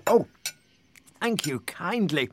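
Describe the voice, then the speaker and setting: Man voicing a small, high-pitched polite crab. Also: big pitch swings, slow pace, background noise, heavily acted. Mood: grateful. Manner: courteous, gentle pleading. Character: small polite talking golden crab, eager and hungry.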